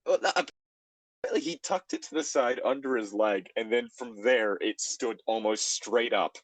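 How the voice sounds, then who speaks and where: A man's voice: mid-range voice, big pitch swings, moderate pace, no echo. A man in casual commentary on a story he reads aloud, sounding wry and exasperated. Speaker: co-host reading fan fiction aloud.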